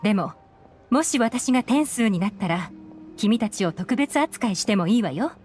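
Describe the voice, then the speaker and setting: A woman playing a composed, shrewd young female operative in a video game, unhurried and slightly teasing. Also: mid-range voice, lively intonation, darkish tone, close to an everyday voice. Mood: calm, teasing. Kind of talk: Japanese video game voice acting. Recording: game music behind the voice.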